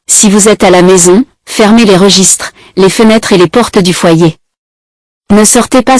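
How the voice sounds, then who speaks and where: A woman's voice: mid-range voice, no echo. A woman reading a scripted emergency warning as an alert announcer.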